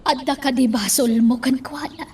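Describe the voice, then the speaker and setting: High-voiced, concerned woman played by an actress. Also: fairly even intonation, normal speed, darkish tone, slight background noise, lightly acted. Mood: concerned, probing. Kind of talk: radio drama acting.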